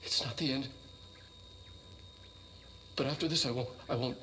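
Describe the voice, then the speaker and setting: An actor playing an earnest, haunted middle-aged male scientist who records research logs in a halting, stammering, intense way. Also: mid-range voice, bright, forceful tone, a little hiss or hum, natural voice. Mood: shaken, awed. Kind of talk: dramatic recorded log monologue in a video game.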